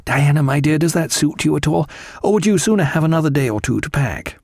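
A man voicing a learned, dry-humoured ship's surgeon and fond husband, with formal, measured period phrasing. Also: fairly high pitch, vocal fry, darkish tone, no room sound, voice pushed up. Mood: polite, composed.

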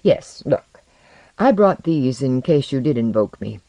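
A narrator playing an educated modern man in an audiobook tale. He is modest and anxious, speaking plainly but emotionally, with pitch that moves a great deal. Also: very animated, unhurried, mellow, no echo, muffled recording. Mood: sheepish, earnest.

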